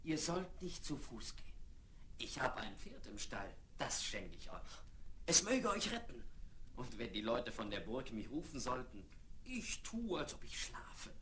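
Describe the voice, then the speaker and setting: Lively, loyal ferryman. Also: medium pitch, unhurried. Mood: urgent, kindly. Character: loyal, kindly, helpful ferryman. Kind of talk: children's fairy-tale radio play acting. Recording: noisy, clicking old vinyl record.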